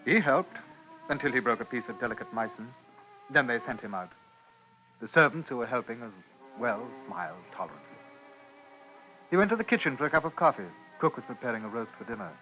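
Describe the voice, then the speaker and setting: A British man narrating a radio drama in a measured, literary storytelling manner. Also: medium pitch, lively intonation. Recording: dull old radio broadcast with music.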